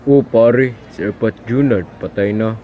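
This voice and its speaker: A man playing a low-key gossiping neighbourhood pal in an amateur radio drama. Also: unhurried, narrow, tinny audio, a little hiss or hum, lightly acted. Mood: matter-of-fact.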